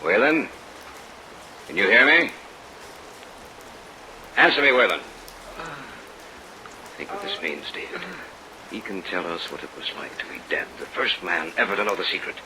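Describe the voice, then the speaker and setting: An actor playing an obsessed, commanding older scientist in an old radio drama, with an imperious, declamatory delivery. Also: male voice, sits low, animated, moderate pace, long pauses. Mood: excited, insistent. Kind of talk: old-time radio acting. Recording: vintage radio recording, heavy rain noise.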